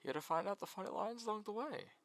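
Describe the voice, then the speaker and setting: A man's voice: high-pitched, expressive, fast talker, a bit bright. A man giving a casual, jokey video essay about games, with mock sincerity.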